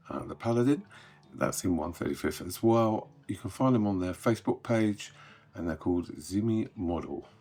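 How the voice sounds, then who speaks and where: A man hosting a hobby news update, chatting casually and sounding croaky as he gets over an illness. He often trails off softly at the ends of sentences. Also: fairly low pitch. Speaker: host.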